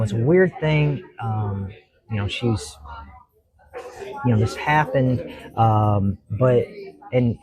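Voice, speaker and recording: A middle-aged man with a Kentucky accent, a judge talking earnestly as a podcast guest, pausing often and restarting his sentences. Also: medium pitch, a little mellow, breathy edge, low-quality recording. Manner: earnest, halting, many fillers and restarts. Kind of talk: casual interview talk.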